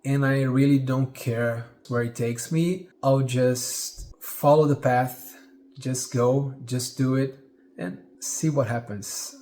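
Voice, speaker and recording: Brazilian guy, 40s, talks slow and earnest to the camera at home, portuguese accent, ums and uhs. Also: medium pitch, dark tone. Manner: earnest, reflective, pauses with fillers. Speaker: musician. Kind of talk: direct-to-camera vlog. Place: living room of a home, indoors. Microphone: camera at head-and-shoulders framing.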